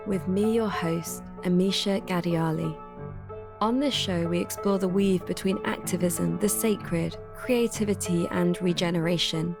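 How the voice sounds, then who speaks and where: A woman hosting a podcast, reading a scripted welcome in a calm, measured, earnest way. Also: on the low side. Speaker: podcast host.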